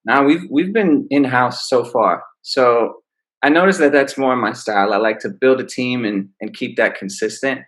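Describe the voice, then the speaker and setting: A man, an R&B singer, answering interview questions in a relaxed, easygoing way. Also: mid-range voice, normal speed, rough recording. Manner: relaxed, laid-back explaining. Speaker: R&B singer, guest. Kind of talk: casual interview.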